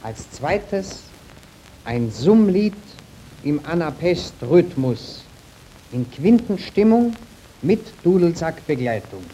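A man announcing a children's radio program in slow, formal early radio style, listing items in a measured way. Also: on the high side, sing-song, warm tone, a little hiss or hum, poor audio. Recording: archival 1931 radio recording.

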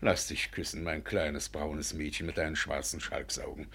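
A man's voice: rumbling low, flat delivery, moderate pace, creaky, a bit bright, breathy edge, full character voice. An actor in a German radio play, playing a pompous, doting middle-aged Russian landowner and father who is effusive and easily indignant.

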